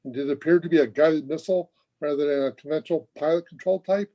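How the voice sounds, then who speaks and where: A man's voice: fairly high pitch, fast, muffled recording, lightly acted. A man playing an earnest, very polite twenty-year-old Air Force officer in an old-time radio comedy. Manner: courteous, earnest, wordy.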